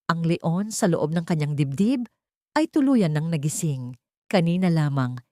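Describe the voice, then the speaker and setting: A woman with a Filipino accent reads a dramatic audiobook story. Her voice sits on the low side and is recorded close and dry. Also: darkish tone, dry recording. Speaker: audiobook narrator. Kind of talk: dramatic scripted story narration.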